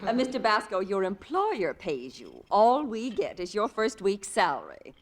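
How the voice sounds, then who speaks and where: An actress playing a polite, businesslike employment agency clerk in a vintage radio sitcom. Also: female voice, mid-range voice, wildly swooping pitch, moderate pace. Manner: patient, polite, amused. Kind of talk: 1940s radio sitcom acting. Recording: old radio broadcast with studio audience laughter and hiss.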